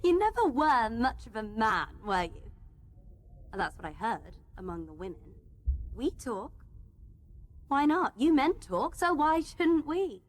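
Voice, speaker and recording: Spurned female office worker, radio play, played by a woman: taunting, high and drawn-out, faint background noise. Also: very animated. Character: spurned, needling younger female office worker held captive. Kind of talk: radio play monologue acting.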